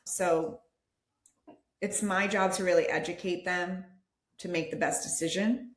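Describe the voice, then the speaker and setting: A woman's voice: fairly low pitch, fairly even intonation, a little slow, roomy sound. A woman guest, an interior designer, explaining her work in a casual, agreeable way.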